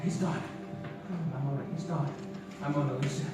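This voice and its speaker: An actor playing a young lover wild with grief, crying out in a live stage radio play. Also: male voice, medium pitch, fairly even intonation, brisk, lightly acted. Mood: broken grief. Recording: echoey theater, distant, loud music.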